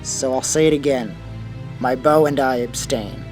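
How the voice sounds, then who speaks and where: A man's voice: medium pitch, normal speed, lightly acted. An actor playing a resolute, dry teenage boy who stands his ground in an audio drama. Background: music behind the voice.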